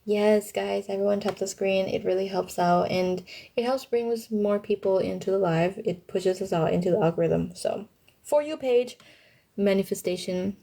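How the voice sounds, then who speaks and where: A young woman talks casually to her livestream viewers in a soft-edged, mellow voice at a medium pitch. She answers questions in informal, youthful slang. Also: normal speed, gravelly. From Thailand.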